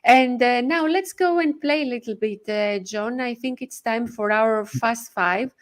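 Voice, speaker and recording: A woman hosting a live podcast interview, speaking briskly with frequent filler pauses. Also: a little high. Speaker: podcast host.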